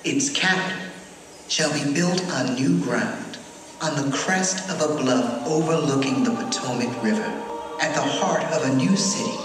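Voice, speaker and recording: Echoey, distant male American documentary narrator. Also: mid-range voice. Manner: solemn, measured, dramatic delivery. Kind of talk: scripted historical narration. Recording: very echoey large hall, distant, music behind. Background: loud music.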